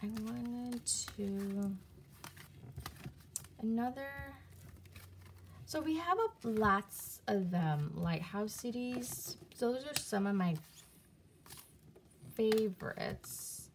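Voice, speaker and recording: A woman casually showing her CDs and reading their back covers aloud, halting often with fillers and restarts, recorded on a phone camera above a table indoors. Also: fairly high pitch, lively intonation, a little hiss or hum. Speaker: video creator reviewing CDs. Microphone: phone camera filming her hands on a table from above.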